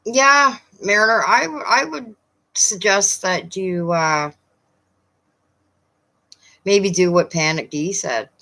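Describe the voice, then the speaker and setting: A woman with a Newfoundland accent chatting casually with viewers on a live stream, often pausing with fillers. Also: medium pitch, pushed and bright. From Newfoundland, Canada. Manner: chatty, hesitant, many fillers.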